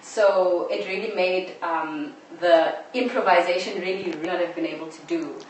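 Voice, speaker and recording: A woman's voice: fairly low pitch, moderate pace. A woman explaining her work as a drama producer in an interview. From South Africa. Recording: echoey, distant live stream, faint noise.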